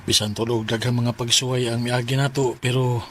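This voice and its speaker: A man's voice: mid-range voice, little pitch movement, a little fast, slight background noise, natural voice. A man with a Cebuano Filipino accent playing a devoted, earnest young husband in a radio drama, speaking reassuringly. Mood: caring.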